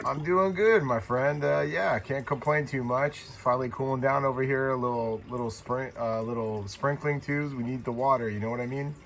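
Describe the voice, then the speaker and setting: A man's voice: medium pitch, normal speed, gravelly, few pauses. A laid-back, friendly man chatting casually as a CB radio operator, using radio jargon. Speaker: CB radio operator and YouTube channel host. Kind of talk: casual radio contact chat. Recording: loud radio static and hiss.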